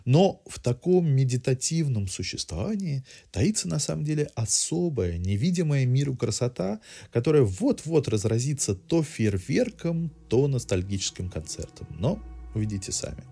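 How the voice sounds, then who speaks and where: A man's voice: medium pitch, sing-song, moderate pace, gravelly, hardly any pauses, mellow, breathy edge. A man hosting a podcast, delivering a semi-scripted cultural review in erudite, literary phrasing.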